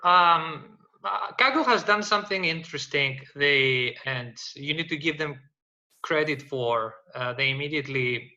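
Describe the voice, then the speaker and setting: A man from Greece, speaking as a data science panelist, halting with many fillers and restarts. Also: on the high side, bright, forceful tone, dull audio. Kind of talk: panel discussion, unscripted explanation.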